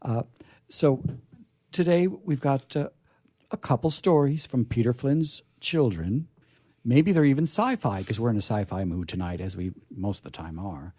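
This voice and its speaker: Rambling middle-aged man, husky voice. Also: medium pitch, expressive, normal speed, dark tone, no echo, studio quality. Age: middle-aged or older. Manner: enthusiastic, rambling, self-deprecating. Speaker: radio show host. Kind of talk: casual unscripted event chat.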